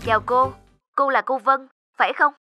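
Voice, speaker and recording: A woman voicing an earnest, considerate male repairman in a cartoon, polite and measured with some hesitation. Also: sits high, steady tone, bright, forceful tone, dull audio, soft background music. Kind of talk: cartoon dubbing.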